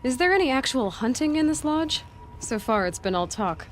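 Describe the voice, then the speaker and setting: An actress playing a determined outsider huntress in a video game, speaking in a direct, firm way. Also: female voice, high voice, sing-song, normal speed, slight background noise. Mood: direct, questioning.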